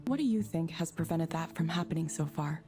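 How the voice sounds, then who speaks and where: An actress playing a composed, neutral woman counsellor in a visual novel, with measured, professional delivery. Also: low voice, lively intonation, music in the background. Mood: neutral, probing.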